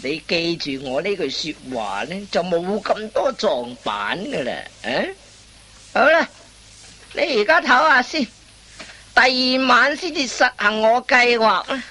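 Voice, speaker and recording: A woman's voice: mid-range voice, big pitch swings, a little slow, bright, forceful tone, full character voice. A woman playing a sly, boastful old veteran thief in a radio comedy, with a theatrical, sighing drawl. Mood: lecturing, scheming. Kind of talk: radio comedy drama acting.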